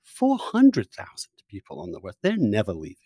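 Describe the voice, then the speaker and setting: A man's voice: fairly low pitch, wildly swooping pitch, moderate pace, warm tone, husky, no echo, clean studio sound. A man hosting an argumentative political conversation, blunt and insistent, often stammering into restarts as he presses his points. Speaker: podcast host and interviewer.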